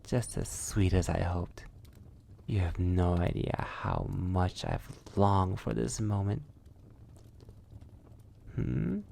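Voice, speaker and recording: A man's voice: deep voice, gravelly, dark tone, slight background noise, full character voice. A man playing a sadistic, possessive, centuries-old vampire in an intimate ASMR roleplay, silky and menacing, often dropping toward a whisper. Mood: gloating, hungry.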